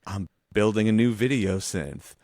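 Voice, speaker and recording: A man's voice: fairly low pitch, measured pace, no room sound, clean studio sound. A man in his forties chatting casually as a podcast co-host, rambling and restarting his sentences as he tells stories, with some casual swearing. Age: 40s. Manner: rambling, self-interrupting, animated storytelling; casually profane. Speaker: visual artist.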